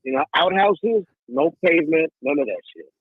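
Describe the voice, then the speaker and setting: A man's voice: fairly high pitch, expressive, normal speed, slightly rough, no echo. A man from Mexico arguing heatedly on a live stream, stammering, repeating words and pressing with "right?". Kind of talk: heated argument. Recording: thin phone-like line.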